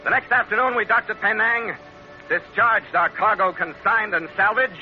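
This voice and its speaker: An American actor playing a tough sea captain in a 1940s radio adventure, speaking in a clipped, dramatic, hard-boiled way. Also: male voice, high-pitched, moderate pace, faint music behind, lightly acted. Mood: brisk narration. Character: tough male sea captain of a sailing ketch. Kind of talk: 1940s radio adventure acting, first-person narration. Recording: muffled, very noisy old radio recording.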